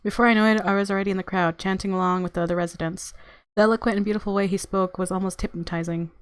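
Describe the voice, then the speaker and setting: A woman's voice: medium pitch, steady tone, very fast, no echo. A woman hosting a gaming video, reading visual novel narration aloud expressively and reacting casually. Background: game music.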